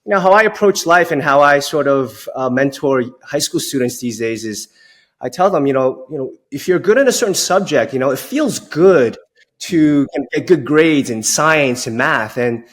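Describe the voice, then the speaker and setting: A man's voice: fairly high pitch, expressive, moderate pace. A man in his forties from Long Island, New York, talking casually as an interview guest and often saying "you know". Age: 40s. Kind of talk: casual conversational storytelling.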